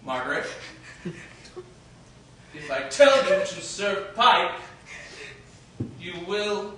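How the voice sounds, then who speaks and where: Male actor for a tyrannical, unhinged old king. Lively, animated stage delivery, heard from a distance with heavy room echo and faint noise. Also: extremely slow, heavily acted. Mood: raving. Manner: theatrical, swings from booming shouts to low menacing mutters. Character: raving, domineering old king, cruel and grandiose. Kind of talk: stage drama acting.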